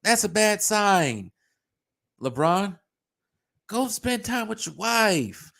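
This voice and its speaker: A man giving a mocking, scornful basketball rant, his voice going hoarse. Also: high-pitched, sing-song, takes it slowly, fairly bright tone. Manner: mocking, scornful, pleading in jest. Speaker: sports commentator. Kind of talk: ranting basketball commentary.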